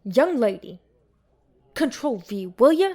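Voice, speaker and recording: A woman's voice: fairly high pitch, sing-song, slow, darkish tone, heavily acted. A woman playing a tough, no-nonsense bar landlady in an audio roleplay, bossy and scolding. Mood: bossy, exasperated.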